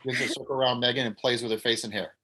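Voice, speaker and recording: A man's voice: mid-range voice, fairly even intonation, very fast, bright, forceful tone. A man running a table read, reading out the stage directions.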